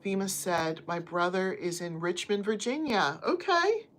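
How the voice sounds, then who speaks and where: A woman's voice: mid-range voice, expressive, unhurried. Chatty woman hosting a home livestream. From United States. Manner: chatty, friendly, talks to chat viewers by name. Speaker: crochet livestream host. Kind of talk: casual live chat reading viewer comments. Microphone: phone on a stand.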